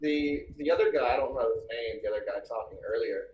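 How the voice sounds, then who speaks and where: A man's voice: mid-range voice, fast, highs cut off. A man arguing his faith as a guest in a casual religious debate, earnest and preachy. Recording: roomy, echoing voice.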